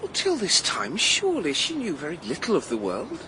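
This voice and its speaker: A sentimental, pitying fellow questions hesitantly in a radio play. His voice is high and bright and swoops dramatically, with a faint rumble behind. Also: huge pitch swings, brisk, heavily acted, poor audio. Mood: questioning, concerned. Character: pitying, sentimental male listener to gossip.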